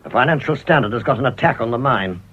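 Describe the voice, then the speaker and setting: A man's voice: medium pitch, lively intonation, quick pace, a bit bright, a little hiss or hum, close to an everyday voice. An actor playing a blunt, sceptical businessman in a period radio drama, gloomy but level-headed and dry in manner. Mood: pressing. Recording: dull lo-fi radio broadcast recording.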